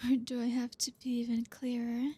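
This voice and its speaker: A woman playing a flirty, dominant boss in an ASMR roleplay, teasing and commanding in a soft, deliberate, often whispered voice. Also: fairly high pitch, completely flat delivery, breathy edge. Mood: firm, commanding.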